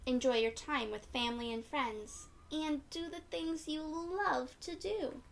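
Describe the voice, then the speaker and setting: Slow, high-voiced woman reading to children. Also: creaky. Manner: gentle, encouraging, addresses children directly with questions. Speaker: children's read-aloud storyteller. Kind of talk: expressive picture-book read-aloud for young children.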